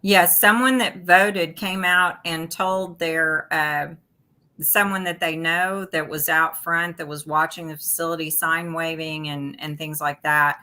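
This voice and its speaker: A woman's voice: low voice, steady tone, a little slow, sharp tone. A woman, a guest on a talk show, explaining election rules steadily while stuffed up from allergies.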